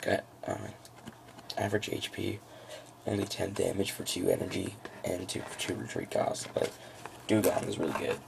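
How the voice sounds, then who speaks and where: A man with a froggy, gravelly voice giving a casual, unscripted walkthrough of trading cards, halting as he thinks aloud. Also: low-pitched, steady tone, background noise. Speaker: trading card hobbyist presenting a deck.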